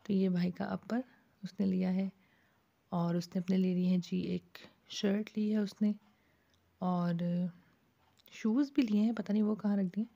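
A woman's voice: medium pitch, fairly even intonation, a little slow, creaky, long pauses, mellow. A woman from Pakistan vlogging in a casual, chatty way, talking to viewers as she shops in a bazaar at night and then at home. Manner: chatty, addresses viewers with frequent "ji". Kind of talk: casual vlog narration. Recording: dull lo-fi phone audio with faint street noise.

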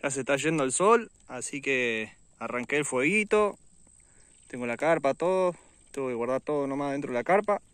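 A man's voice: mid-range voice, animated, normal speed, fairly bright tone, clear, resonant tone, no echo. A man with an Argentine accent casually narrating an outdoor fishing vlog, chatting informally to his viewers. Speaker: fishing vlogger. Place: outdoors on a riverbank.

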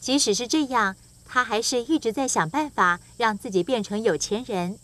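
A woman's voice: a little high, moderate pace, fairly bright tone. A woman reading scripted narration for a children's storybook tale. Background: music.

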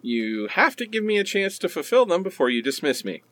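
Bickering boyfriend, male actor, pleading and sarcastic, lively pitch swings, dry close sound. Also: a little fast, dry recording. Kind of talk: comedic radio play acting.

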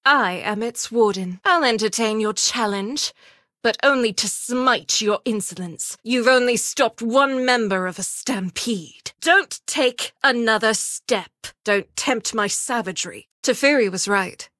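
A woman's voice: on the high side, measured pace, sharp tone, no echo, clean studio sound. An actress voicing a proud, fierce elf woman who guards an ancient forest, speaking in a formal, imperious, defiant manner for a video game. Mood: commanding, defiant. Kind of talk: video game character voice lines. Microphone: close studio mic.

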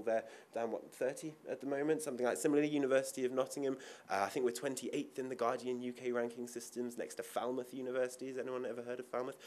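Fast-talking young man at a podium. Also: on the low side. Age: young adult. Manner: quick, informal, many ums and asides. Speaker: university international officer. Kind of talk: conference presentation. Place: conference hall, at a podium. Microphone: podium mic.

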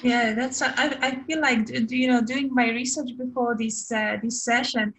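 A woman's voice: a little high, steady tone, normal speed, fairly bright tone, slight background noise, poor audio. A woman moderating a panel on a video call, asking questions hesitantly with many fillers.

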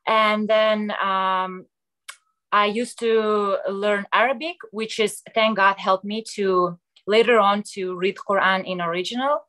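A young woman from Kazakhstan telling her story on a home video call, halting with many fillers and restarts. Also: mid-range voice, fairly even intonation, fairly bright tone. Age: young adult. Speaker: guest sharing her story. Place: small room at home, indoors. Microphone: laptop webcam mic on video call.

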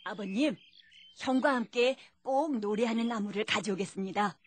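A woman's voice: medium pitch, moderate pace, a little hiss or hum, lightly acted. A woman voicing an earnest, brave young prince in a children's puppet show, with a boyish manner. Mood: earnest, resolute.

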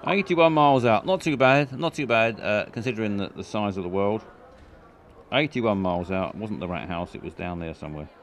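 A man's voice: fairly low pitch, brisk, slight background noise. A man streaming live, rambling in a dry, self-mocking way while reading out viewers' chat. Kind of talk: casual live-stream commentary.

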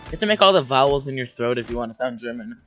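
A young man streaming a war strategy game from a desk at home, yelling at the game and putting on silly voices. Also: mid-range voice, expressive, rapid-fire, soft background music. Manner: yelling and screeching at the game, silly mock accents. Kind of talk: excitable gaming commentary.

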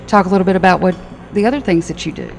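A woman shop owner with a slightly low voice, brisk and matter-of-fact as she explains her business. A crowd murmurs clearly in the background. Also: low-quality recording. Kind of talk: casual chat.

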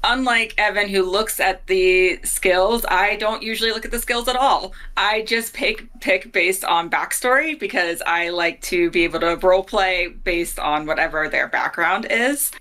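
A woman's voice: mid-range voice, unhurried, few pauses, bright, forceful tone. A woman in her thirties, a guest player chatting casually on a video call from a home office. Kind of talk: casual chat.

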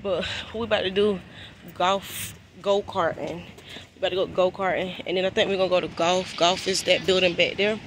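A woman's voice: fairly low pitch, steady tone, moderate pace, noisy background. A woman in her twenties chatting casually to her phone camera in a laid-back, slangy vlog. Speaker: vlogger. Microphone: handheld phone camera.

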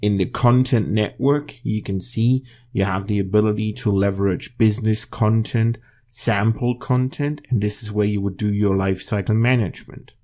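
A man presenting a software tutorial as screencast narration, explaining step by step in a measured way. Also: fairly low pitch, steady tone.

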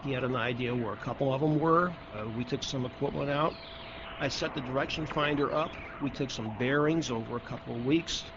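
A man's voice: medium pitch, fairly quick. A man recounting things matter-of-factly in an interview, in muffled, noisy audio.

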